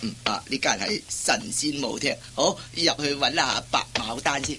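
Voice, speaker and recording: A bright, forceful voice on a slightly noisy old radio recording: a man acting a timid, henpecked husband in a comic play. He stammers and repeats himself in a flustered Hong Kong Cantonese accent. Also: mid-range voice, normal speed, lightly acted. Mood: relieved, muttering.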